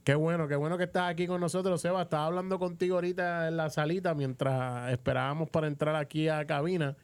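A man's voice: on the high side, steady tone, fast, smooth. A man with a Puerto Rican accent hosting a casual sports interview on the radio, chatty and often repeating words as he works out his phrasing. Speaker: radio show host and interviewer. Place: radio booth.

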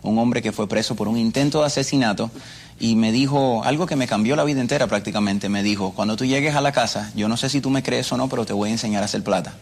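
A man's voice: medium pitch, fast, breathy edge. A Cuban man with a Cuban Spanish accent, a guest earnestly telling his personal story and often repeating his phrases. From Cuba. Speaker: interview guest. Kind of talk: personal testimony.

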